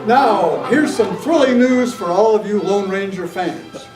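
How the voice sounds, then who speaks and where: A man's voice: high-pitched, animated, unhurried, music in the background. A man reading scripted narration and announcements in the style of an old-time radio show, as an amateur. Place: club meeting room. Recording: roomy, distant camera mic, faint noise.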